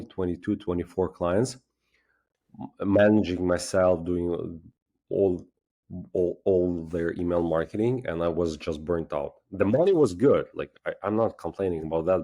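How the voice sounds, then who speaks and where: A man from Ukraine with a Ukrainian accent, a guest on a casual podcast interview, speaking haltingly and often restarting his words, with self-deprecating humor. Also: sits low, dark tone. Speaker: guest, agency founder.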